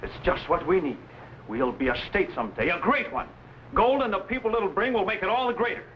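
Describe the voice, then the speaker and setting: Pushy gold-rush newspaperman: highish voice, big swings, declamatory old serial delivery. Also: animated, a little fast. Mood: excited, triumphant. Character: Sam, brash, opportunistic gold-rush newspaperman and trader. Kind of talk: old adventure serial acting. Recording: dull, faintly noisy vintage recording.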